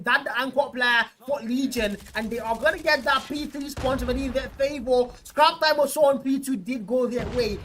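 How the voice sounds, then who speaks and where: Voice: high-pitched, fairly bright tone, a little hiss or hum. An esports caster giving emphatic color commentary, often repeating lines for effect.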